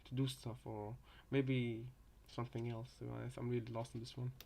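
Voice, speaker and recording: A man's voice: mid-range voice, level tone, a little fast, creaky, warm tone, a little hiss or hum. A man giving casual reaction commentary on game voice lines, thinking aloud in a hesitant, rambling way.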